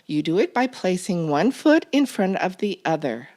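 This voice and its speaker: A woman's voice: fairly low pitch, sing-song, moderate pace, a little mellow. A woman voicing a grumpy little male storybook bird, curt, irritable and sarcastic. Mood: exasperated.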